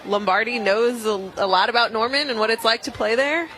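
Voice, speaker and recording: High-voiced American man commentating over stadium noise. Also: fairly even intonation, brisk. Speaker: softball color commentator. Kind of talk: conversational analysis beside play-by-play. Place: outdoor softball stadium. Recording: noisy outdoor stadium broadcast, loud crowd. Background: crowd and stadium noise.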